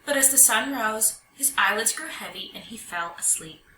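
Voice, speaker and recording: A woman reading a children's picture book aloud in an expressive storytelling manner. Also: a little high, normal speed. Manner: expressive, animated storytelling delivery. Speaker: storybook reader. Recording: roomy echo, distant mic, faint noise.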